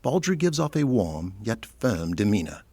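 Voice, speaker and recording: A man's voice: on the low side, big pitch swings, normal speed, warm tone, breathy edge, no echo. A man delivering scripted narration for an audio drama, setting each scene.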